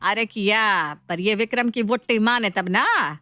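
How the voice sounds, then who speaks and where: A woman voicing an exasperated, protective middle-aged mother-in-law who scolds and complains in an animated story. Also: medium pitch, unhurried, dry recording.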